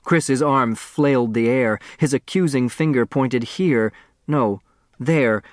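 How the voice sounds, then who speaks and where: A man narrating a scripted story as an audiobook reader, expressive and precise. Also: mid-range voice, slow pace, dry recording, clean studio sound.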